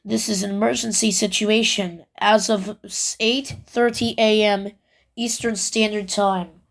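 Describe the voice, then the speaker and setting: A woman role-playing an emergency broadcast announcer, reading alerts in a halting, stumbling way. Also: medium pitch, steady tone, creaky. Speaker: amateur emergency broadcast announcer in a hobby scenario.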